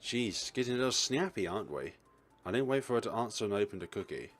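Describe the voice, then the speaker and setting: A man's voice: lowish voice, expressive, fast talker, faint music behind. A man doing a let's play, casually reading visual novel narration and dialogue aloud with a teasing touch.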